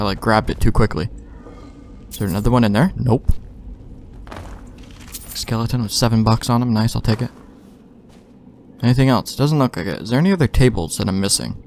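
A man's voice: mid-range voice, darkish tone, slight background noise. A man doing casual gameplay commentary, thinking aloud in informal, mildly profane language. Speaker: let's play host.

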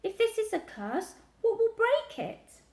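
A woman's voice: very high voice, sing-song, a little slow, a little mellow, roomy sound, voice pushed up, exaggerated voice. A woman voicing a worried, earnest schoolgirl in an audiobook, anxious and eager with her questions. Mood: anxious, puzzled.

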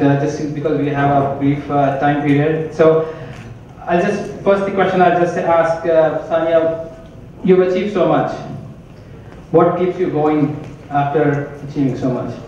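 A middle-aged man interviewing a guest on a hotel conference stage, halting, with many pauses and restarts. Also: on the high side, steady tone, darkish tone, slight background noise. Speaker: interviewer and chapter chair. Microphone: handheld mic. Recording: echoey distant hall audio, muffled.

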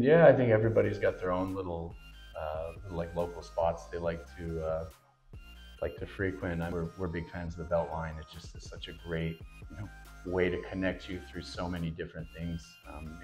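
A Canadian-born rugby player chatting casually on a panel, with relaxed, rambling speech and many fillers. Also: male voice, low voice, a little fast, faint music behind. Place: indoor room with a branded backdrop.

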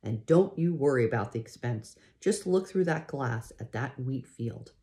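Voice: medium pitch, animated, moderate pace, warm tone, reverberant, close to an everyday voice. A reader voicing a kind, proud pioneer father in a storybook, speaking firmly to reassure. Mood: reassuring.

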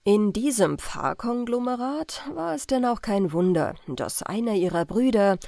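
A woman reading an old-fashioned family tale as an audiobook narrator, in carefully phrased, expressive storytelling. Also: mid-range voice, measured pace, vocal fry, no room sound, studio quality. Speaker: audiobook reader.

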